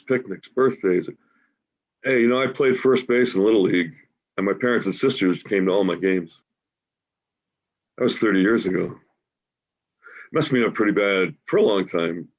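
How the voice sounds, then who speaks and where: Man playing a wounded homeless guy, muffled audio. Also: fairly low pitch. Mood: wistful, sorrowful. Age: 40s. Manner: halting, conversational, emotional storytelling. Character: grieving homeless man in his forties, wry, warm, wounded. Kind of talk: staged play reading, naturalistic monologue. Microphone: video call. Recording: dull, lo-fi video call audio.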